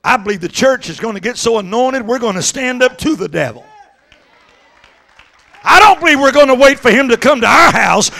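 A middle-aged man preaching an impassioned Pentecostal sermon into a handheld microphone, exhorting and swinging between conversational asides and shouts. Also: very high voice. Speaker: evangelist preaching. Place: church sanctuary stage at a pulpit.

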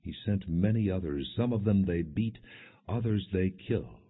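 Deep-voiced man reading scripture, phone-like sound. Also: normal speed, breathy edge. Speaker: audiobook narrator. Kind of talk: scripted scripture narration, non-dramatized. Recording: muffled phone-like lo-fi audio.

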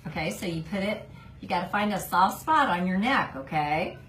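A middle-aged woman gently encouraging and instructing a young child, like a therapist showing how a device works. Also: on the low side, animated, normal speed. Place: small indoor clinic room. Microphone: handheld phone camera at short distance. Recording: roomy, noisy phone video recording.

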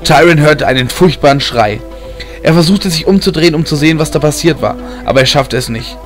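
A man's voice: on the high side, brisk. A man reading a fantasy story as a dramatic audiobook narrator. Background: music bed.

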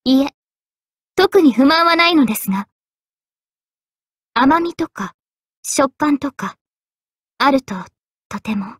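High, poised young sword-guardian maiden. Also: female voice, expressive, dry recording, clean studio sound. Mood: polite, pleased. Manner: poised, polite, measured delivery. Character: young female holy-sword guardian spirit, regal, gentle, devoted. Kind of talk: Japanese anime game voice acting. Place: studio. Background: faint background music.

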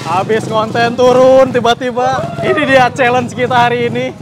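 A young man hosting a casual motorcycle vlog, excitable and full of slang, talking into a handheld mic outdoors at a race circuit. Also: very high-pitched, normal speed. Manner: excitable, slangy, lots of exclamations. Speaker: motovlogger host. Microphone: handheld mic, camera close. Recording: noisy outdoor circuit with engines.

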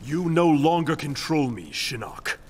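An actor playing a vengeful warrior in a fighting game, speaking in terse, stern, defiant declarations. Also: male voice, a little high, animated, unhurried. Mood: angry defiance. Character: defiant, vengeful male warrior of fire or ice. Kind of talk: dramatic fighting-game acting. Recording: distant voice, very loud background noise.

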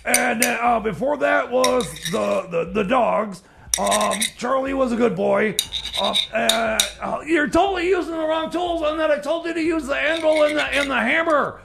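A man playing a flustered, whiny country DJ in a comedy radio skit, stammering and sputtering in a strained, creaky voice. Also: extremely high pitch, moderate pace, noisy background, exaggerated voice. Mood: exasperated. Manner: stammering, sputtering, easily exasperated. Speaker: radio show DJ.

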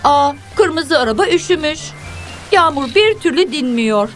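Lively high-voiced woman narrating for children. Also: measured pace. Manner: expressive, simple instructive phrasing for young children. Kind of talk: scripted children's story narration, addressing characters and viewers. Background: music.

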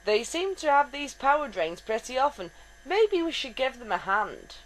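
A woman's voice: sits high, big pitch swings, moderate pace, voice pushed up, rough recording. Chill friendly engineer dude, woman voicing him, chatty, bouncy voice. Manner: casual, chatty. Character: friendly male chief engineer, easygoing.

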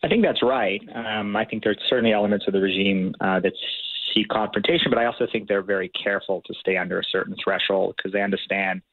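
A man giving measured, policy-focused analysis as a guest in a radio interview, heard over a phone line. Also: on the low side, steady tone, dry recording.

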